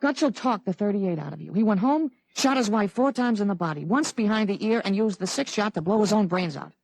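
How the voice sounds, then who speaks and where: Casting: a self-assured, urgent teenage boy with a grown soldier's head, mid-range pitch, dry 1950s radio drama sound. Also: expressive, a little fast, creaky, darkish tone, no echo, poor audio. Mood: grave, insistent.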